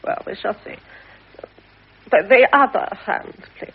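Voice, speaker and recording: High-pitched, theatrical British fortune-teller. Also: female voice, unhurried, creaky, husky, exaggerated voice. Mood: coaxing, sympathetic. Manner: theatrical, cooing, coaxing and imperious by turns. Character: shrewd, manipulative female fortune teller and potion seller. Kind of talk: 1950s British radio drama acting. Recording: muffled 1950s radio recording.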